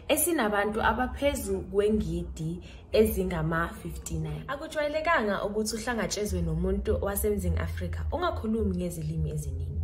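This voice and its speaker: A woman's voice: mid-range voice, slight background noise. A teenage South African schoolgirl giving a careful, recited presentation about her country, recorded indoors on a phone or webcam. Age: teens. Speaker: student presenter.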